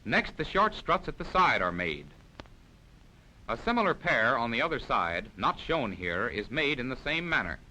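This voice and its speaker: A man's voice: medium pitch, big pitch swings, moderate pace, pushed and bright, slight background noise, low-quality recording. A man reading scripted narration for a 1940s military instructional film in a formal, precise, explanatory manner.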